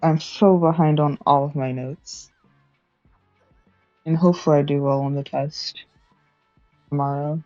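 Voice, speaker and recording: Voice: mid-range voice, steady tone, unhurried, long pauses, a little mellow, no room sound, dull audio, faint music behind. Rambling young streamer chatting while studying. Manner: rambling, self-correcting, laughs often.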